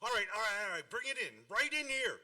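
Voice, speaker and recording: An actor playing an excitable, blustery middle-aged father in a comedic radio play, eager and proud as a child, often repeating words. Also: high-pitched, fast talker, full character voice. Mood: eager, flustered. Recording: distant microphone.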